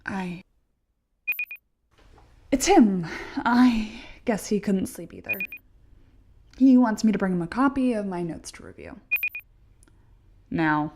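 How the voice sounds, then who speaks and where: A woman acting an earnest, anxious young research assistant in an audio play, with a breathy, slightly husky voice. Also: mid-range voice, lively intonation, slow, pauses often, a little mellow, slight background noise. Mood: wry, earnest.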